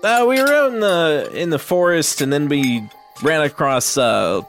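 A man's voice: a little high, animated, unhurried, fairly bright tone, faint music behind. A man voicing an easygoing teenage boy who talks hesitantly and casually.

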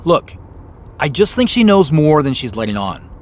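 Understated man with a lively pitch. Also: moderate pace. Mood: uneasy. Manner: hesitant, apologetic, understated. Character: uneasy, polite, evasive professional man. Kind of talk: naturalistic audio drama acting.

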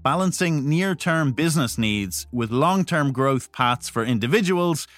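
A man's voice: a little high, expressive, unhurried, fairly bright tone, dry recording. A man hosting a podcast, reading scripted linking narration and putting questions to guests.

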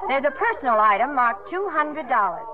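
An actress playing a poised, spirited young stage star in a 1950s radio drama, on a tinny broadcast recording. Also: female voice, sits high, big pitch swings, brisk, crowd noise. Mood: firm.

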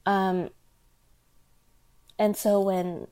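A woman's voice: medium pitch, little pitch movement, drawn-out words, warm tone, no echo. A young woman hosting a podcast, talking through her thoughts in a solo monologue, earnest and full of fillers and restarts. Her first language was Thai Chinese. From United States. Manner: earnest, thinking aloud with many fillers and restarts. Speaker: podcast host.

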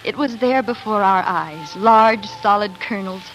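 Young woman, frontier wife, actress doing it dramatic, earnest old radio narration, wistful, mid-pitched, music behind. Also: a little slow, a bit bright, natural voice, rough recording. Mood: wistful, hopeful. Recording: 1940s radio broadcast recording.

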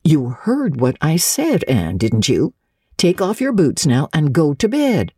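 A woman's voice: sits low, wildly swooping pitch, unhurried, dark tone, slightly rough, no echo, clean studio sound, pitched above the performer's own voice, full character voice. A woman voicing a stern, no-nonsense older woman guardian in a storybook reading, curt, emphatic and sarcastic. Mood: stern, scolding. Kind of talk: dramatic audiobook reading of classic literature.

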